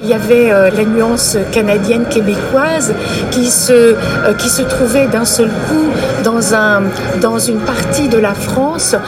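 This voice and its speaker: A woman, a festival director, talking about a film in a hesitant interview clip, pausing often to find her words. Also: on the high side. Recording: music loud behind.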